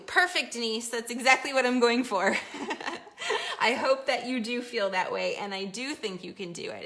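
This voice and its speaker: A woman teaching a live paint-along lesson from her studio easel, chatty and encouraging, a little hoarse as she is losing her voice. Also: medium pitch, sharp tone. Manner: chatty, encouraging, reads viewer comments aloud. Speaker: painting instructor. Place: home art studio at an easel.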